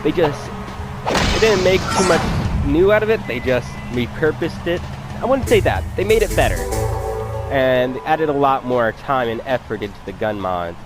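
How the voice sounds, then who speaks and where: A man's voice: mid-range voice, lively intonation, moderate pace. A man giving casual let's play commentary as the host of a gameplay video. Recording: game music loud behind voice. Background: video game music and vehicle sounds.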